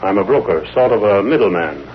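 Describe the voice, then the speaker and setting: An actor with an American accent playing a firm, formal, evasive broker in a 1930s radio drama. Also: male voice, lowish voice, animated, brisk, slight background noise, close to an everyday voice. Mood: firm, guarded. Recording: muffled, humming vintage radio recording.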